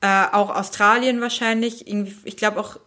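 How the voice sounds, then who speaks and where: A woman's voice: mid-range voice, steady tone, moderate pace, bright, forceful tone. A woman chats casually and mostly answers her partner. She gives short agreements and thinks aloud about her own habits. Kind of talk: casual chat.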